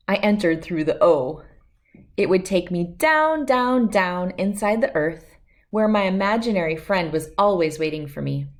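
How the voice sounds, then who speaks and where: A woman reading a children's picture book aloud as host, telling it expressively in the first person. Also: mid-range voice. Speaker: storybook read-aloud host.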